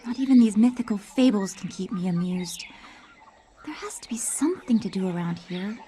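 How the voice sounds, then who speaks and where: A woman's voice: mid-range voice, expressive, normal speed, mellow, natural voice. Lady doing bored young dreamer girl in a video game, wistful, talking to herself, bit of background noise. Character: bored, dreamy young woman longing for adventure. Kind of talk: dramatic video game acting.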